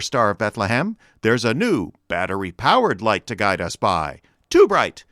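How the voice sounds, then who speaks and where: An actor playing a chipper old-time radio announcer, delivering sponsor pitches in an emphatic, mock-earnest sales style. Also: male voice, a bit bright, dry recording, clean studio sound.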